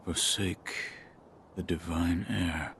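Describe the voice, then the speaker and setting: An actor playing a stoic, loyal shinobi in a video game, speaking in a terse, restrained, solemn way. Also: male voice, low voice, fairly even intonation, takes it slowly, vocal fry, a bit bright, a little hiss or hum, full character voice. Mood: stunned, subdued. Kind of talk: dramatic video game voice acting. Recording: music behind the voice. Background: music.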